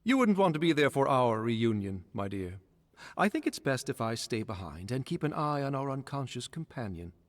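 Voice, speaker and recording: An actor playing the wry ghost of a former court servant in a video game, speaking in a courteous, musing, theatrical way. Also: male voice, medium pitch, big pitch swings, normal speed, lightly acted. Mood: gently philosophical. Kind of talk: scripted video game character dialogue. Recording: long hall-like reverb.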